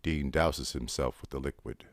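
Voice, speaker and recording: A male narrator with a very deep, bass voice. He reads stage directions in an understated, scripted way. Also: moderate pace.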